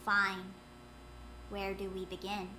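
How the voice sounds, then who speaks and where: A woman's voice: medium pitch, completely flat delivery, slow pace, bright, forceful tone, slight background noise, poor audio. A woman voicing a stern woman negotiator who speaks in a cold, clipped and formal way, in a fan audio drama. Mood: cold anger.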